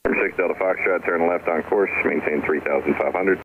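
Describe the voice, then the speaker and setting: A man's voice: low-pitched, brisk. A man working as an air traffic controller, delivering clipped instructions over aviation radio. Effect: aircraft radio. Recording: tinny, noisy aviation radio.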